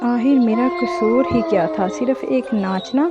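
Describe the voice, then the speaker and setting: A woman's voice: fairly high pitch, a little slow, dull audio, loud music behind the voice, lightly acted. A woman voicing a vengeful female witch ghost, menacing and accusing, in a cartoon horror story. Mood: angry, accusing. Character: vengeful female witch ghost of murdered dancing daughter-in-law.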